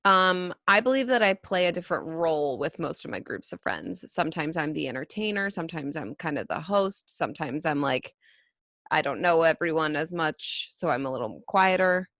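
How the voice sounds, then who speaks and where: A woman's voice: lively intonation, moderate pace, fairly bright tone, dry recording, studio quality. A woman co-hosting a casual chat podcast, with a valley-girl way of talking. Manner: valley-girl way of talking, by her own account; swoops up into high put-on voices. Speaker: podcast co-host.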